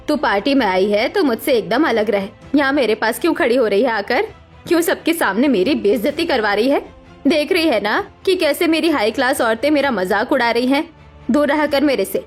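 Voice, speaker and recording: A woman's voice: a little high, animated, normal speed. A woman voicing a harsh, contemptuous middle-aged mother in a dramatic Hindi cartoon story. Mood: cold, scornful. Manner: scolding, contemptuous. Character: harsh, scornful middle-aged mother berating her daughter. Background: music.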